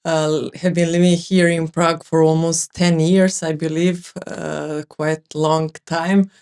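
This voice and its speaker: A woman's voice: low voice, little pitch movement, slow, darkish tone, smooth. A woman with a Serbian accent introducing herself conversationally as a podcast guest. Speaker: podcast guest, sales coach.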